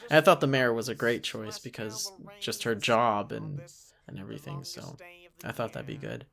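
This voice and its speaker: A man giving rambling, casual, self-critical commentary as the creator of an animated parody series. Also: fairly low pitch, moderate pace, gravelly. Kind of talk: casual unscripted director's commentary. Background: episode audio with cartoon voices playing under the talk.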